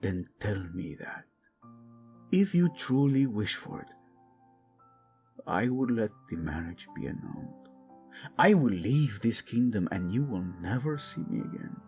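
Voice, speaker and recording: A man playing a proud, passionate young king devoted to his wife in a romantic audio drama, speaking earnestly and deliberately. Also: lowish voice, big pitch swings, darkish tone, soft background music. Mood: tender, hurt.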